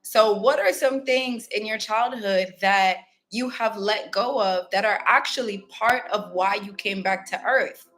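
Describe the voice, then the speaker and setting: A woman's voice: mid-range voice, measured pace, hardly any pauses, fairly bright tone. Candid chatty woman from Ghana. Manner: candid, chatty, reflective, with fillers and asides. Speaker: spiritual self-help host. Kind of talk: casual motivational monologue to viewers.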